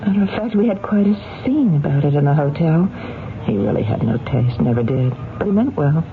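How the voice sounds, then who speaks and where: A woman's voice: low-pitched, animated, fairly quick, vocal fry, a little hiss or hum. An actress in a 1970s radio drama playing an anxious, sleepless woman haunted during a trial, speaking in a nervous, hesitant way. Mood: uneasy, evasive. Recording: muffled, phone-like old radio broadcast.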